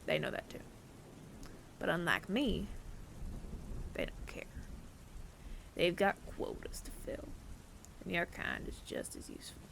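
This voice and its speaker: A man playing a weary, remorseful bounty hunter in an audio roleplay, speaking in hushed, breathy, deliberate phrases with heavy pauses. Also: sits high, big pitch swings, full character voice, rough recording. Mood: somber, bitter. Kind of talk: dramatic ASMR audio roleplay monologue. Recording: clear rain noise behind the voice. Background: rain.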